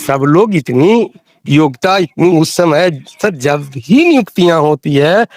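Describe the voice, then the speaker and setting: A man's voice: on the high side, no echo, clean studio sound. A man explaining rules in a phone discussion, insistent and repeating phrases for emphasis. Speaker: interviewee explaining teacher rules. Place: recorded audio call.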